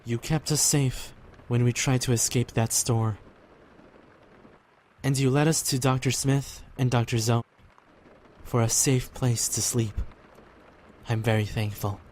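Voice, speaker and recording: Man acting as earnest, gentle young man, noisy background. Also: mid-range voice, fairly even intonation, measured pace, pauses often, natural voice. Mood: prayerful, sincere. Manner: polite, sincere, hesitant. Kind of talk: fan audio drama acting. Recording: clearly noisy background ambience.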